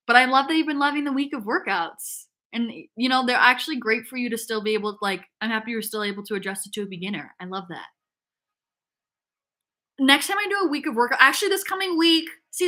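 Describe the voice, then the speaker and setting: A woman's voice: a little high, sharp tone. A young woman hosting a casual fitness livestream, chatting upbeat and reading viewer comments aloud, with lots of "like" fillers.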